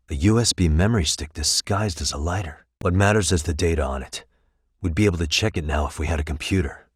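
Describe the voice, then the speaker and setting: A level-headed private detective from a video game, speaks in a low voice. He is sharp and wry, with a slightly husky edge. The sound is dry and free of room echo. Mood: serious, deducing. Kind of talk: English game voice acting, detective dialogue.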